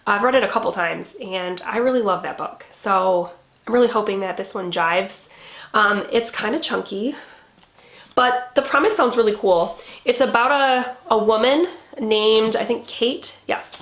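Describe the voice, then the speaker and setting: A woman's voice: medium pitch, unhurried, a bit bright, echoey room. Nasal young woman with a cold. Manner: chatty, rambling with fillers. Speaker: BookTube host. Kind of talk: casual reading-plans chat.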